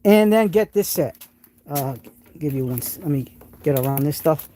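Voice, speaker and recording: A man's voice: a little high, expressive, normal speed, warm tone. A man with a New England accent hosting a live tool stream, showing off tools and answering chat in an enthusiastic, rambling way with many restarts. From New England, United States.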